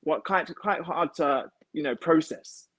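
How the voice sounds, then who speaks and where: A man's voice: a little high, a little slow, fairly bright tone, no room sound. Young British man, dry close sound. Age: young adult. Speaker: guest, elite martial arts athlete. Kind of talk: casual chat, telling own story. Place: home kitchen, indoors. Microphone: device mic on a video call.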